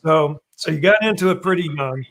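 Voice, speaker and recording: A man hosts a live stream in a casual, rambling way, his voice a little hoarse. He sits a bit higher than most men. A faint background hiss runs under his talk. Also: brisk. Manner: rambling, laughs often, reads viewer comments. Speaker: live stream show host.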